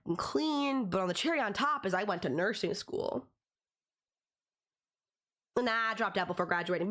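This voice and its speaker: A woman's voice: mid-range voice, fairly bright tone, natural voice. A woman playing a possessive, teasing young stalker in an audio roleplay, talking straight to the listener in a conversational, condescending yet affectionate way. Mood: coaxing, condescending.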